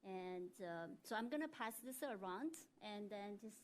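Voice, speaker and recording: A woman with a middle-pitched voice speaks at a school board meeting. Her manner is casual and friendly, and she often hesitates. Faint room ambience sits behind her voice. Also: animated, measured pace, a little hiss or hum. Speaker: board member.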